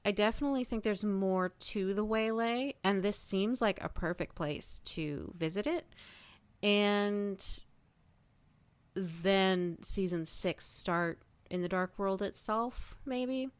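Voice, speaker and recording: A woman's voice: mid-range voice, takes it slowly, smooth, dry recording. A woman speaking as a podcast panelist in a casual fan discussion, thinking aloud in a thoughtful way.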